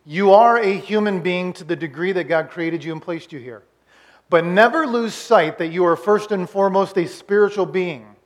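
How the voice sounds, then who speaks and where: High-voiced pastor in his forties. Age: 40s. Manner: conversational, repeats words, puts on quoted voices. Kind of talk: sermon recap.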